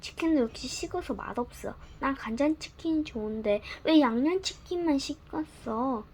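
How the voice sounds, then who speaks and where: A woman's voice: sits high, animated, normal speed, a little mellow, a little hiss or hum. A woman voicing a boy who complains that the party food has gone cold. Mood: grumbling.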